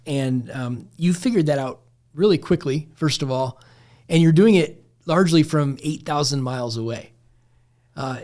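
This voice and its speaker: Measured male podcast host. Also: fairly high pitch, darkish tone. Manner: measured, with short affirming replies. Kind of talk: interview questions. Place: small office studio with bookshelves. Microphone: desk podcast mic on boom arm.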